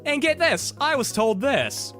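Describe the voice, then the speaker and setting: A man ranting sarcastically about his frustrations, his voice hoarse from being sick. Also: very high-pitched, very animated, measured pace, a bit bright, soft background music. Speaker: content creator. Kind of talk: ranting commentary video.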